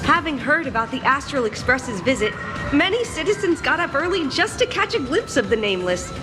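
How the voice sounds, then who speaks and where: A woman's voice: high voice, sing-song, brisk, music in the background. A voice actress playing a polite female city police officer in a video game, formal and welcoming. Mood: courteous. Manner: formal, announcing. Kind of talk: video game character acting.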